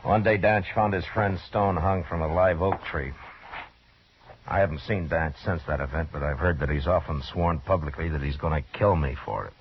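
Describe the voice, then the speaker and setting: A very deep, laconic frontier lawman in an old radio Western. He is steady and wary and keeps things matter-of-fact. The recording is dull and noisy. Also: moderate pace. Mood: grim, measured. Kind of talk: 1950s radio drama acting and first-person narration.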